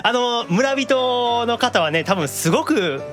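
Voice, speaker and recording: A man with a Kansai accent hosting a radio show, bantering with excitable, teasing comebacks. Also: extremely high pitch, takes it slowly, faint music behind. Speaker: radio show host, voice actor.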